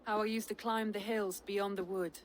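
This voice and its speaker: Actress doing a norse noblewoman from a video game, stoic but longing, formal wording, mid pitch, bit of background ambience. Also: level tone, normal speed, a little hiss or hum, close to an everyday voice. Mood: spirited. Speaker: game character. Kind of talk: dramatic game cutscene acting.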